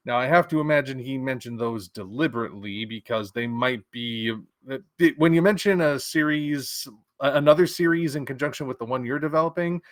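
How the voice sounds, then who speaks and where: A man's voice: mid-range voice, a bit bright, no echo, clean studio sound. Man musing over sci-fi news. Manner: musing, thinks aloud with restarts and fillers. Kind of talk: casual opinion commentary.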